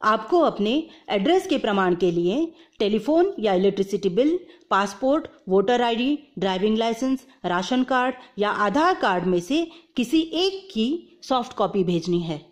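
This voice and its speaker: A woman's voice: mid-range voice, lively intonation, moderate pace, hardly any pauses. A woman giving an explanatory tutorial voice-over step by step, mixing English terms into her speech. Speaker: video presenter explaining instructions.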